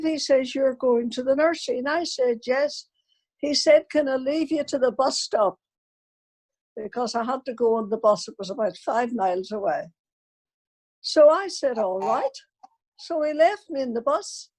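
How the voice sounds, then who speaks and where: A woman's voice: on the high side, darkish tone. An elderly woman, a grandmother, telling personal family stories unscripted and acting out remembered conversations. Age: older, elderly grandmother. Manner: anecdotal, acts out remembered dialogue.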